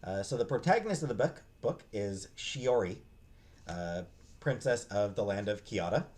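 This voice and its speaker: Halting man with a lowish voice. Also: lively intonation, darkish tone. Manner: halting, hesitant, searching for words. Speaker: book reviewer, host. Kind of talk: casual unscripted book talk.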